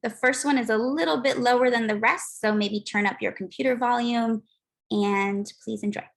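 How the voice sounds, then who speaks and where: A woman's voice: fairly high pitch, fairly even intonation, moderate pace, creaky. A woman teacher giving a prepared talk about her class, earnest and sprinkled with ums.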